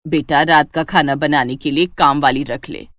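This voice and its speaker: A woman's voice: low-pitched, steady tone, normal speed, a bit bright, no echo, clean studio sound, voice pushed down. A woman voicing a stern, fed-up elderly mother-in-law with a weary, authoritative manner in a family story.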